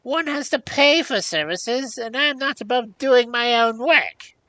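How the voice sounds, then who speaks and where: A woman's voice: a little high, expressive, a little slow, sharp tone, dry recording, pitched above the performer's own voice, full character voice. A woman voicing a miserly old hag in a storybook, grumbling, sarcastic and mocking as she haggles. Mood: cross, scheming. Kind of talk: storybook character voice.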